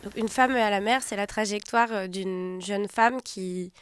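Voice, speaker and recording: A woman film director from Turkey, talking about her film in a reflective, halting way and often pausing to find her words. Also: mid-range voice, smooth, no echo.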